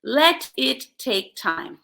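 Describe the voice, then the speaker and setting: A woman's voice: high voice, very animated, very slow, vocal fry, bright, forceful tone. A woman hosting a self-help show, giving a motivational talk to viewers with personal stories, in an emphatic way that sometimes drops toward a whisper.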